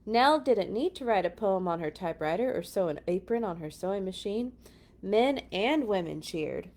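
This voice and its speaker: A woman's voice: medium pitch, animated, moderate pace, a little mellow. A woman reading a picture book aloud to children, with dramatic emphasis and chatty asides. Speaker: children's read-along storyteller.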